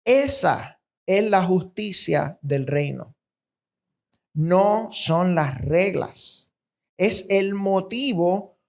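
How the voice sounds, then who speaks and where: Preacher, female, Puerto Rican accent. Slow delivery, deliberate and emphatic sermon style with repetition for weight. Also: medium pitch. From Puerto Rico.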